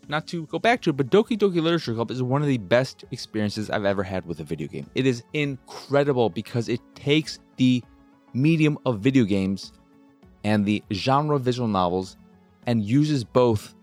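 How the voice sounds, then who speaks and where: A man hosting a video game show with casual, sweary, rambling commentary and an exasperated, self-deprecating manner. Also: mid-range voice.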